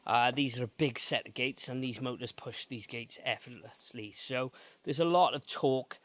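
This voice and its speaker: A man presenting as a repair technician in an informal explainer, going step by step with halting pauses while filming outdoors. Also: medium pitch, fairly bright tone. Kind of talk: informal explainer vlog from a job. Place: outdoors beside an electric gate.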